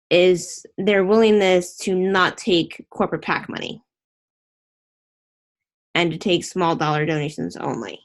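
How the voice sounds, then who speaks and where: A woman's voice: lowish voice, gravelly. A woman hosting a casual online interview, chatty and opinionated, rambling with restarts.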